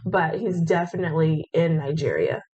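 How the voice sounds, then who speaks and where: A woman host reporting findings in a calm, informative way. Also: low-pitched, normal speed, husky, dull audio.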